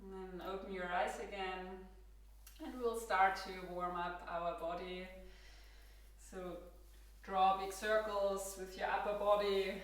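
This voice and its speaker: A woman with an Austrian accent leads a yoga practice at an unhurried pace. She speaks with gentle, calm cues. Her voice comes from a bit away from the mic, in a strongly echoing room. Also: medium pitch, distant mic. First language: German. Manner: calm, gentle cueing with soft laughs. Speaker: yoga instructor. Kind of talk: guided yoga class. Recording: roomy echo, from across the room.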